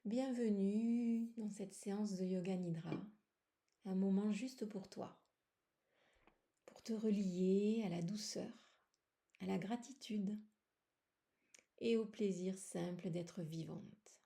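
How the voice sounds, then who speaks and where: Very slow, dark-voiced woman guiding relaxation. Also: mid-range voice, fairly even intonation, creaky. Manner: soothing, unhurried, short phrases with long pauses. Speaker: yoga nidra guide. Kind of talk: guided relaxation meditation.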